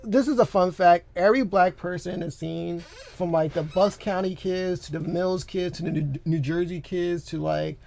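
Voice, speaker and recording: A young man co-hosting a podcast in a studio, chatting casually in a rambling, animated way with lots of filler words. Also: sits high, moderate pace, vocal fry, hardly any pauses, a little hiss or hum. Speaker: podcast co-host. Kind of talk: casual chat. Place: recording studio. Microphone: studio microphone.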